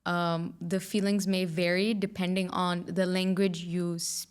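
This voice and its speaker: A woman's voice: lowish voice, little pitch movement, a little slow, clean tone. A young woman whose mother tongue is Urdu, hosting a podcast and asking questions in a thoughtful, casual way with frequent restarts. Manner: thoughtful, agreeing, many restarts. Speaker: podcast host. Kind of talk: casual interview chat.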